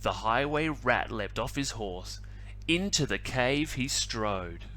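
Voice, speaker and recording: Male teacher, picture-book read-aloud, slow, bright tone, lively pitch. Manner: animated, slow storytelling with asides. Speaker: teacher reading to children.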